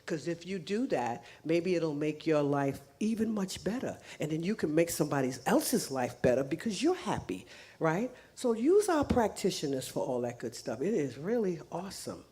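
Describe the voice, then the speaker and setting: A woman's voice: low-pitched, big pitch swings, moderate pace, mellow. A woman making casual announcements to a church congregation, chatty and laughing, with frequent restarts, speaking English as a second language. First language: not English. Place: church sanctuary.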